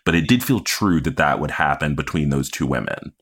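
Low-voiced man, opinionated podcast co-host. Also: expressive, brisk, a bit bright, husky. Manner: opinionated, analytical, emphatic. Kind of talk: casual TV recap chat.

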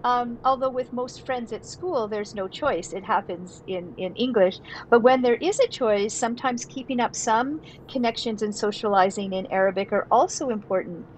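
Measured woman researcher, faint hiss. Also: fairly high pitch, poor audio. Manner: explanatory, measured. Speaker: professor and researcher, guest. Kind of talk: casual podcast conversation.

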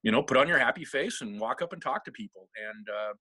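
A man's voice: medium pitch, lively intonation, fast, sharp tone, breathy edge, dry recording, low-quality recording. A middle-aged man from Seattle, a guest telling rambling, self-deprecating stories in a casual interview and laughing often. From Seattle, USA.

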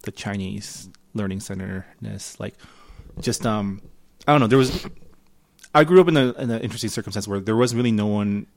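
A man's voice: fairly low pitch, moderate pace, vocal fry. A young adult man whose first language is Mandarin, talking in a laid-back, hesitant way with many ums in a casual conversation.

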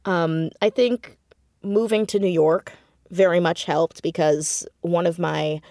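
A woman chatting casually as an interview guest, telling things in an animated, easygoing conversational way. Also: on the low side, slow pace, no room sound, clean studio sound. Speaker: podcast guest, audio fiction writer and actor.

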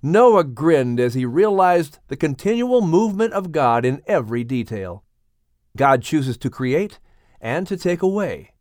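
A man's voice: on the high side, very animated, measured pace, darkish tone, no echo, studio quality. A man hosting and narrating a scripted story for a family audiobook show. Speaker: host and narrator.

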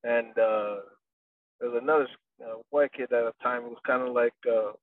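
A man's voice: medium pitch, steady tone, normal speed, no echo. A man who trains boxers, talking casually in an interview and rambling with lots of fillers and restarts. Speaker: boxing trainer. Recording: dry, distant, phone-like audio.

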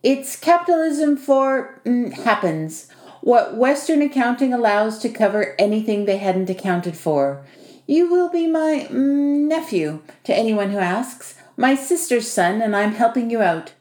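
A woman's voice: a little high, lively intonation, unhurried, a little mellow, voice pushed up. A woman voicing a short, bossy male house spirit for an audiobook, wry and teasing, with foreign-sounding turns of phrase. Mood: wry, businesslike. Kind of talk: audiobook character dialogue. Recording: echoey room.

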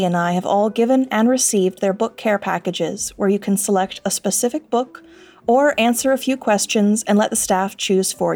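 A woman's voice: medium pitch, darkish tone. A woman delivering a scripted sponsor message as part of a podcast team. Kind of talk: scripted ad read.

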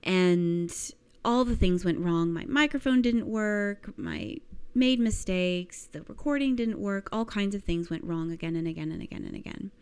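A woman with a slightly low, smooth voice that has a small croak in it. She coaches at a very slow, deliberate pace, leaning on repetition and rhetorical questions for emphasis. The delivery is that of a motivational talk. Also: a little mellow. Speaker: life coach giving a lesson.

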